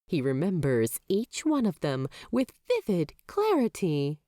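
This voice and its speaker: A woman's voice: a little slow, dry recording, clean studio sound. A woman reading fan fiction aloud as a podfic narrator, telling the story expressively. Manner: expressive storytelling with wide swings of pitch.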